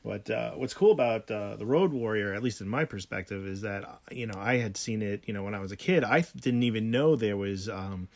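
A middle-aged man with a low, gravelly voice talks loosely on a casual podcast. He rambles, stops to restart his sentences and fills the gaps with ums. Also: brisk, few pauses. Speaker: podcast co-host. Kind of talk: casual home podcast chat.

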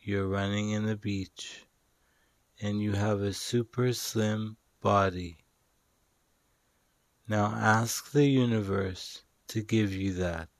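A man leading a guided meditation in a calm, soothing, instructional manner with long pauses. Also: lowish voice, no room sound. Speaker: meditation guide and show host.

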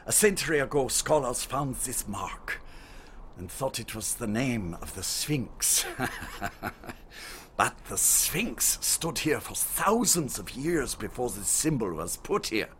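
Courteous German archaeologist, animated delivery. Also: male voice, mid-range voice, takes it slowly, a little hiss or hum. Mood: reverent, explanatory. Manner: formal, gentlemanly storyteller. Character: courteous, learned German archaeologist showing visitors the Sphinx. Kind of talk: radio drama acting.

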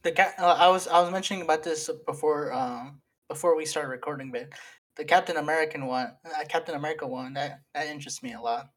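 A man's voice: a little high, fast talker, fairly bright tone. Young guy chatting on a podcast, rambly, lots of likes and ums, chuckles as he goes. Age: young adult. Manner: rambling, laughs mid-sentence, lots of fillers. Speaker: podcast co-host and editor. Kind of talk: casual chat among friends.

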